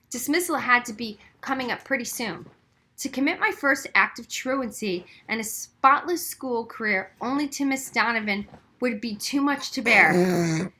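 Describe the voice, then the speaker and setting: A woman reading a children's novel aloud as expressive, scripted first-person narration. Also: high-pitched. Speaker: audiobook reader.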